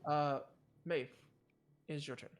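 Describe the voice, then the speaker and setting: A man's voice: a little high, fairly even intonation, unhurried. A man narrating a tabletop game as game master in a casual, loose, improvised way. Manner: casual, swearing.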